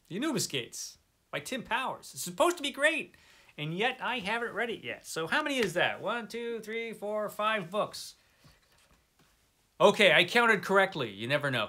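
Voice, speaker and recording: A man's voice: a little high, pushed and bright. A middle-aged man talking casually to viewers as a book vlogger, rambling along with wry, self-deprecating asides. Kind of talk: casual chat to camera, book recommendations.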